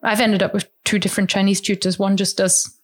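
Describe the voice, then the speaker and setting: A woman from Germany, a guest in an interview, explaining her study habits in a chatty way with many restarts, sometimes acting out quotes in a higher voice. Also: little pitch movement, a little fast. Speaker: interview guest, language learner. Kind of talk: casual conversational explanation.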